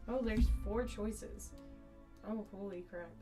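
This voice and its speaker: A woman's voice: medium pitch, slow. A woman livestreaming a game, chatting casually and reading dialogue choices aloud. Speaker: game streamer. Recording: distant mic under game music. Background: game music.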